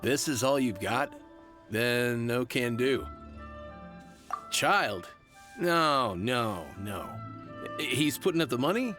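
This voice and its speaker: A man's voice: medium pitch, lively intonation, slow pace, pauses often, sharp tone. An actor playing a stubborn young courier in a game, blunt as he bargains. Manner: blunt, refusing, then bargaining. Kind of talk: game voice acting. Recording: game audio with music behind.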